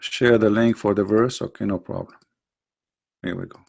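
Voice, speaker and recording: A man's voice: fairly low pitch, steady tone, moderate pace, gravelly, a little mellow. A middle-aged man hosting a live stream, lecturing emphatically on religion in non-native English with frequent restarts and reading out viewer questions.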